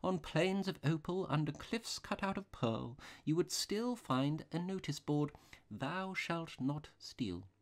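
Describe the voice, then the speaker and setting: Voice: medium pitch, animated, slow pace, pitched above the performer's own voice. A reader voicing a mild, vague little rustic priest in a storybook, unworldly, hesitant and apologetic. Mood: earnest, dreamy.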